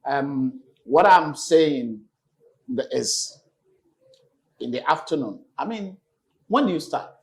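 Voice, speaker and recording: A man hosting a casual on-location chat in a small restaurant, lively and promotional. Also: fairly high pitch, slow pace, long pauses, rough recording. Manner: lively and promotional, urging viewers to visit. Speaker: channel host.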